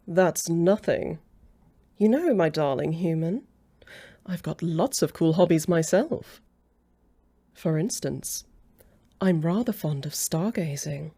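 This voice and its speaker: A woman's voice: deep voice, animated, slow, pauses often, warm tone, slightly rough, heavily acted. A woman playing a haughty, possessive aristocratic female vampire in a roleplay audio drama, flirtatious and mocking, with a condescending drawl. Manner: drawling, taunting, chuckling condescension.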